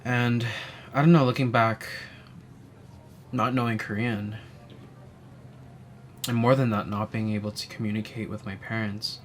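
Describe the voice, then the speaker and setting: Soft-spoken young man, low flat voice. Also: unhurried, pauses often. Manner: soft-spoken, many "um"s and restarts. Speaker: interviewee. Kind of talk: reflective personal storytelling. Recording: faint ambient noise.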